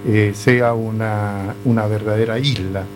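An older man from Chile with a Chilean accent, speaking as a panel guest in a measured, hesitant way full of fillers. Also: on the low side, fairly even intonation, noisy background. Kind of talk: reflective conversation. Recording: music playing underneath.

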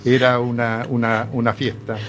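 An older man from Chile with a Chilean accent, speaking as a panel guest in a measured, hesitant way full of fillers. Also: mid-range voice, monotone, fairly bright tone. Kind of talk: reflective conversation. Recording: music playing underneath.

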